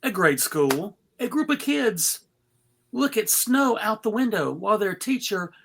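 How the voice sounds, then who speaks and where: A woman's voice: mid-range voice, lively intonation, a little slow, vocal fry, a little hiss or hum. A woman reading stage directions as narrator in a live, comedic script read.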